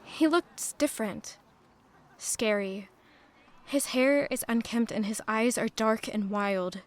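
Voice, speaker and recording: An actress playing a defiant, wary young heroine in an audio drama, tense and resolute in dialogue and narration. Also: female voice, fairly high pitch, slow. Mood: wary, reflective.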